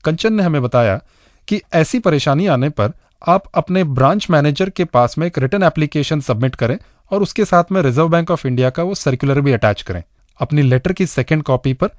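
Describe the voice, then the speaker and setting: A man's voice: on the high side, lively intonation, moderate pace, no echo, clean studio sound. A man with an Indian accent presenting a radio show in scripted narration, addressing the listeners directly.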